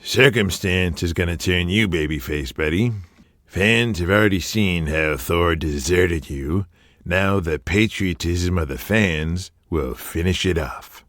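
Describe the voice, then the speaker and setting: A domineering wrestling promoter who blusters and curses through every line, as a man puts him on. His voice sits very low and he talks slowly. The dry, close sound of an audio drama frames his bossy growl. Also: a bit bright, dry recording. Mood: scheming. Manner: blustering, swears heavily. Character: gruff aging male wrestling promoter, profane and domineering.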